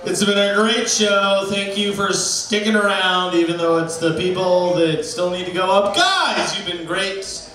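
A man hosting an open mic on a small bar stage, hyping the crowd and introducing the next comic into a handheld mic. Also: high-pitched. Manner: hypes the crowd, drawn-out shouted intros. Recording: very echoey bar room.

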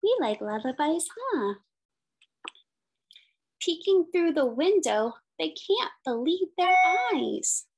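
A woman's voice: high-pitched, big pitch swings, slow pace, pauses often, a little mellow. A woman in her thirties reading a picture book aloud as a teacher, in simple sing-song phrases with questions for young children. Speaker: special education teacher.

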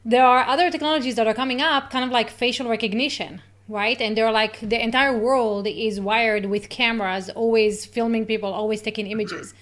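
A woman hosts a live interview over a webcam, asking questions in a loose conversational way. English is not her first language, and her voice is a little high. She breaks off briefly to address viewers. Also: fairly even intonation, a bit bright. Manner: conversational, quick asides to viewers. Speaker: host. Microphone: video call webcam.

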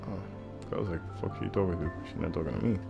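A man's voice: low voice, steady tone, fast, gravelly, over loud music. An American man giving casual, slangy and profane reactive commentary as he plays a video game. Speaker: gamer.

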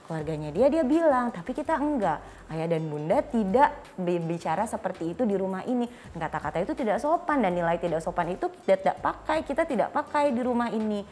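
A woman's voice: medium pitch, darkish tone, a little hiss or hum. A woman in her thirties with an Indonesian accent, explaining as a guest expert in informal talk and acting out short dialogues. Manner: acts out short parent and child dialogues. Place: small furnished studio set, indoors. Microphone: clip-on lapel mic.

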